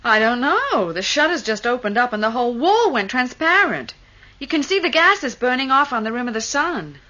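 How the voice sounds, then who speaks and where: An actress playing a sharp-tongued woman on a starship crew in a science fiction radio drama, tart and sardonic. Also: a little high, very animated, moderate pace. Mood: detached. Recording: dull recording with noisy ambience. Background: background noise and ambience.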